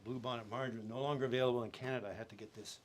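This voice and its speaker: A man performing spoken-word poetry as a poet, with deliberate, theatrical delivery in short phrases broken by pauses, on a live-stream recording. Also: medium pitch, fairly even intonation, heavy background noise. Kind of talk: dramatic spoken-word poetry reading, with brief chatty asides.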